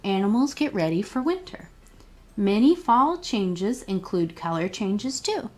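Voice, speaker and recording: A woman reads a children's picture book aloud in a storytime style. Her mid-pitched voice moves up and down in a very animated way, and she addresses young listeners directly with expressive questions. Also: measured pace. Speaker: children's librarian reading storytime.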